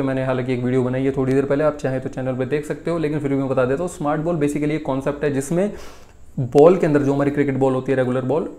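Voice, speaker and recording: A man's voice: mid-range voice, mellow. A man in his twenties from India with an Indian accent, hosting a casual, emphatic cricket news rundown in a conversational way, recorded in a small room at home. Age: 20s. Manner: conversational, emphatic, repeats key points for effect.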